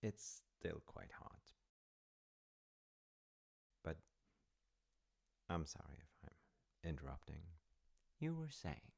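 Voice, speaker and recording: A man playing a gentle, attentive, slightly awkward middle-aged dad in a soft-spoken, wholesome audio roleplay, hesitant and reassuring as he talks. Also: sing-song, heavily acted. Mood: sympathetic, attentive. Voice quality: gravelly, low. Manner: hesitant, reassuring, thoughtful with pauses.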